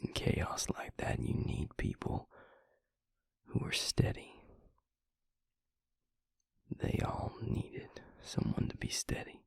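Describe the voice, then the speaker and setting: A man playing a weary, guilt-ridden vampire in an intimate audio drama, confiding in a husky, halting near-whisper. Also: no room sound, studio quality, close to an everyday voice. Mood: heavy, subdued. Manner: slow, halting, brooding confession. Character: weary, guilt-ridden male vampire and former healer, confiding in his mate. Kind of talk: intimate audio roleplay drama, hushed monologue.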